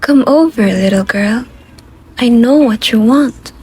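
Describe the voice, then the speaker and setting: A woman's voice: a little high, takes it slowly, darkish tone, voice pushed up. A woman voicing a ghostly, mysterious figure in a puppet show, insistent and beckoning. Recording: clearly noisy recording with ambience.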